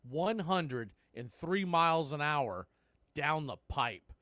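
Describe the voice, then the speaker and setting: A man doing radio baseball play-by-play, making steady calls mixed with conversational analysis. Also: fairly high pitch, takes it slowly, no room sound, clean studio sound. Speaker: play-by-play announcer.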